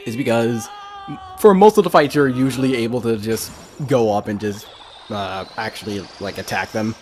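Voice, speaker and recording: A man's voice: medium pitch, moderate pace, a little mellow, slight background noise. A young man giving casual, rambling let's play commentary with an exasperated streak. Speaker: gaming video host. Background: game music.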